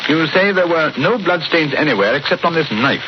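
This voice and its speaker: An actor playing a shrewd, unruffled English gentleman detective in a 1940s radio drama, with a clipped, deliberate, understated manner. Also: fairly high pitch, expressive, lightly acted. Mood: probing, wry. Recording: muffled, noisy old 1940s radio recording.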